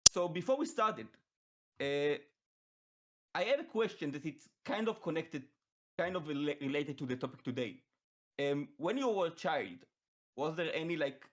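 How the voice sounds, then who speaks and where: A man's voice: a little high, expressive, a bit bright. Israeli man hosting a casual podcast. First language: Hebrew. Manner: halting, many restarts and fillers. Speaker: podcast host. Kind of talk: casual chat.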